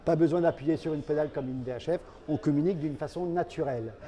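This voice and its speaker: A man speaking as a company representative in an interview, explaining products in a halting way and repeating words. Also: on the high side, mellow.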